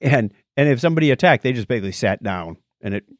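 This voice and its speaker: Animated man in casual podcast chat. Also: medium pitch, fast talker, no room sound, clean studio sound. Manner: animated, rambling storyteller. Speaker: podcast co-host. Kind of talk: casual podcast chat, recounting a nature documentary.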